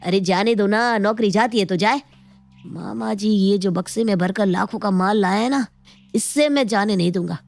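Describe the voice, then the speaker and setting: A scheming young man, played by a woman in a cartoon moral tale. He is boastful and eager to flatter, pitched lower, with faint music behind him. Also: moderate pace, creaky, dry recording, lightly acted. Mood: stubborn, scheming. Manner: ingratiating, boastful. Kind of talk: animated Hindi moral story dialogue.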